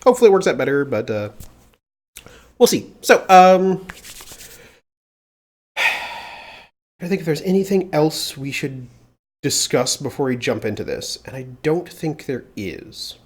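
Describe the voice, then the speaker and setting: A slightly congested man chatting casually on a live coding stream, thinking aloud with plenty of filler pauses, into a mounted mic at a home desk. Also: on the high side, sing-song, slightly rough. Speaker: livestreamer doing security research. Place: home desk with mechanical keyboard. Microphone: mounted desk studio mic.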